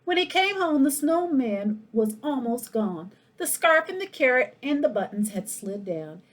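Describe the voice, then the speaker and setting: Female storyteller for picture books: husky edge, animated and swooping, engages young listeners directly. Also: high-pitched, measured pace. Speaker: school principal reading a picture book aloud. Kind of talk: expressive children's read-aloud with asides to listeners.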